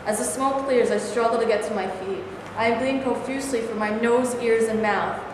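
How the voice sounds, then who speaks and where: A woman's voice: fairly high pitch, brisk, natural voice. Anguished Japanese mother, acted by a woman, echoing hall. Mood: grave, pained. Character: anguished wounded Japanese mother, horrified and defiant. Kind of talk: staged reading of a stage play. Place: reverberant indoor room at a staged reading. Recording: echoey hall with clear background noise.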